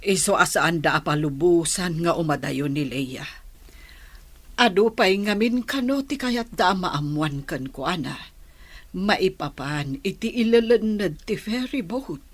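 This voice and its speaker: A woman with an Ilocano accent playing an anxious, sorrowful older mother in a radio drama, her voice husky. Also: lowish voice, lively intonation, a little slow, no echo. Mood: worried, doubtful. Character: worried older mother of a missing son.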